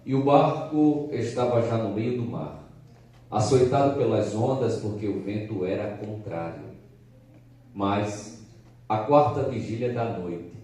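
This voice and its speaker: Deep-voiced evangelist from Pernambuco, echoing hall. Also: male voice, warm tone. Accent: Pernambuco Brazilian Portuguese. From Pernambuco, Brazil. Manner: formal, emphatic, dramatic reading. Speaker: visiting evangelist. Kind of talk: sermon with scripture reading. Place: church service. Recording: echoey church hall, from far off.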